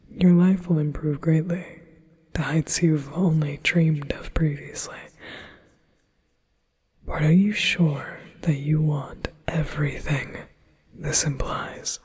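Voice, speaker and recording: A man voicing a possessive, manipulative male demon in a whispered ASMR hypnosis roleplay, speaking in a slow, soothing whisper. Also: a little high, steady tone, a little mellow, husky, exaggerated voice. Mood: coaxing.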